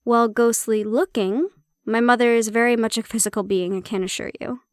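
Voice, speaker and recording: A woman's voice: on the high side, fairly even intonation, a little fast, no echo, clean studio sound, lightly acted. A woman playing a prickly, guarded banshee-siren hybrid in an intimate audio roleplay, explaining herself conversationally with some teasing. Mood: candid, wry.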